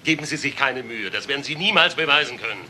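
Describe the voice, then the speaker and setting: An actor playing a curt, defiant suspect under police questioning in a crime drama. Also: male voice, medium pitch, lively intonation, fast talker, bright, forceful tone, slight background noise. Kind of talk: German TV crime drama acting.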